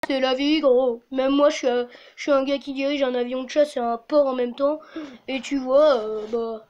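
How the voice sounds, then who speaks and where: A laid-back hippie peacenik guy acted by a woman in a comic web sketch. The voice is high and full of loose street slang, and the recording is dull and lo-fi. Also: steady tone, normal speed, a little mellow, lightly acted. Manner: slangy, calls people "gros". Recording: dull lo-fi sound.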